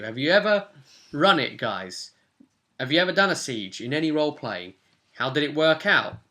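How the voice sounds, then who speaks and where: A man's voice: medium pitch, sharp tone. A man in his twenties chatting casually as host and game master about a tabletop game session, recorded indoors on a webcam. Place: small home room, indoors. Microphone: webcam at arm's length.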